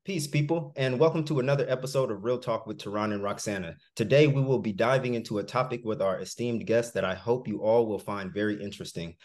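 A man hosting an interview over a video call, asking polite and measured questions. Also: on the low side, a little mellow. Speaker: podcast host. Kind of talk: hosted interview. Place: home room, plain wall.

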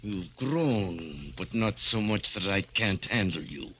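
A domineering, scolding showman in a 1940s radio thriller. He is threatening and emphatic, with a sharp voice that swings widely in pitch over faint old-recording noise. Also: lively intonation, takes it slowly, muffled recording, heavily acted. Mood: angry, threatening. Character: domineering, scolding male stage partner of a dwarf.

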